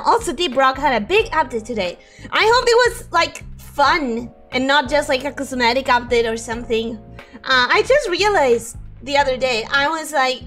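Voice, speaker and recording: A young woman streaming casually, her voice hoarse and ragged from sickness, giggling often as she reads chat and greets viewers. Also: sits high, a bit bright.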